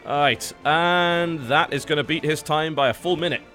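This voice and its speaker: A man's voice: a little high, moderate pace, sharp tone. An English man giving live commentary on his game, explaining his tactics as he plays and swearing now and then. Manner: analytical, explains tactics while playing, occasional swearing. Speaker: streamer playing a game. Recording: game music playing behind.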